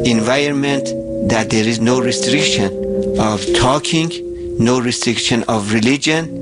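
A middle-aged man from Iran with a Persian accent, telling his story in an interview in a halting, earnest way, searching for words. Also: medium pitch. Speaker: store owner. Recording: music score loud underneath. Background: music.

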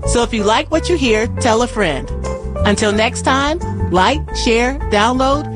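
A woman's voice: on the high side, expressive, measured pace. A woman reading a scripted podcast sign-off as an announcer, with music behind her. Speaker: podcast production manager and announcer.

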